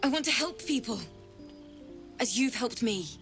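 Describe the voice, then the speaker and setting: A woman's voice: fairly high pitch, animated, unhurried, a bit bright, faint music behind. A young woman voicing an earnest female bard who talks in an eager, heartfelt way.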